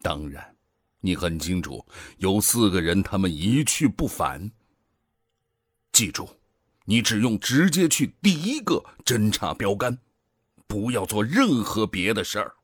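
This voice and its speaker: An actor playing a grave, caring older space station director in a science fiction radio drama, speaking earnestly and with caution. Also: male voice, on the low side, lively intonation, a little slow, breathy edge, no room sound, clean studio sound. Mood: grave concern.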